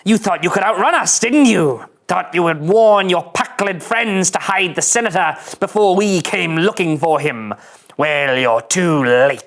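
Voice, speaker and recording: A woman playing a hostile, gloating Romulan warbird commander in a table read, with a slow, pompous, threatening delivery. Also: mid-range voice, expressive, pitched below the performer's own voice. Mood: menacing. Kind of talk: table-read villain acting.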